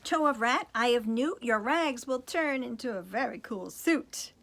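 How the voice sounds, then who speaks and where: A woman's voice: on the high side, lively intonation, measured pace, vocal fry, a bit bright, voice pushed up, heavily acted. A woman voicing a bumbling male fairy whose spells go wrong, chanting them in a sing-song way and getting flustered.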